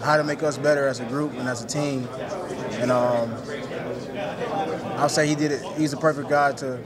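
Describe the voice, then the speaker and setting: Young man, soft-spoken, halting, lots of fillers, press scrum, loud crowd chatter behind. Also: medium pitch, steady tone. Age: young adult. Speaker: college football player. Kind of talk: post-game press interview. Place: indoor media room with reporters around. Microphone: reporters' handheld recorders held close.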